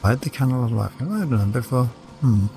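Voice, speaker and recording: Creaky-voiced man streaming a game. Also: mid-range voice, expressive, fast talker, warm tone. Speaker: live streamer. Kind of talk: casual gameplay commentary. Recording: game music behind the voice.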